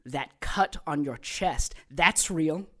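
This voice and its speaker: An actress playing a sadistic, cynical immortal villainess in an audio drama, taunting in a deliberate, theatrical way. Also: female voice, low voice, pushed and bright, full character voice. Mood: menacing. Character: gleeful immortal female villain who controls corpses, sadistic and cynical.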